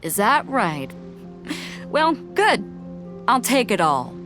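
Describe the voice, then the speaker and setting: Bold pirate captain, female, curt, commanding, expressive swings, video game dialogue over music. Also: on the high side, takes it slowly. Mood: firm, threatening. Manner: assertive, curt, teasing. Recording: background music under the voice.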